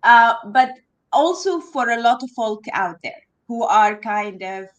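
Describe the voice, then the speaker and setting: A woman hosting a casual interview, speaking in a relaxed, hesitant way with frequent fillers and restarts. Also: a little high, a little slow. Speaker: host.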